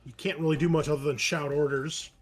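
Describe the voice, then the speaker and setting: A man's voice: fairly high pitch, fairly even intonation, fast. Man running a tabletop game over music. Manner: informal, swearing, explains rules in asides. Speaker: game master. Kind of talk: narrating a tabletop roleplaying game online. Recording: music playing behind the voice. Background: background music.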